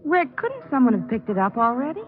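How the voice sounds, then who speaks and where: A woman's voice: sits high, very animated, brisk. An actress playing an assertive, outspoken female customer and detective's companion in a 1940s radio drama. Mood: concerned. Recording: muffled old radio recording.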